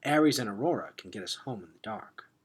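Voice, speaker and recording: A man's voice: fairly low pitch, expressive, husky. Man reading as a composed, formal young warrior girl. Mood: encouraging. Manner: measured, formal phrasing.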